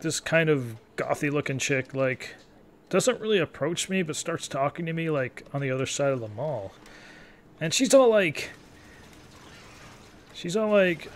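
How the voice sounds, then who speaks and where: A man's voice: medium pitch, a little hiss or hum. A man in his thirties giving casual, rambling, self-deprecating live gameplay commentary, often wandering off on tangents. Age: 30s. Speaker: gaming video commentator.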